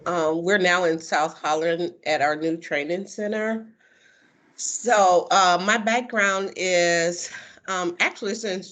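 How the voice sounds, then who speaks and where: A woman training coordinator introducing herself on a video call, speaking slowly and deliberately. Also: deep voice, a bit bright. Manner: slow and deliberate, occasional laughs.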